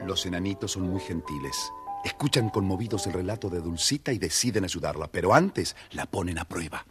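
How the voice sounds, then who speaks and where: A man narrating a children's storybook in an expressive, theatrical way. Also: deep voice, brisk, over music.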